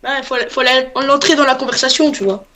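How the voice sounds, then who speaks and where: Very high female voice, slangy chat. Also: a little fast, a crowd in the background. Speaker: friend joining prank calls.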